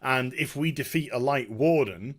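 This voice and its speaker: A man talking casually and off the cuff as a video host, emphatic and excitable, often halting and repeating his words. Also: mid-range voice. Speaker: video host giving a game story discussion. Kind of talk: unscripted casual rambling commentary.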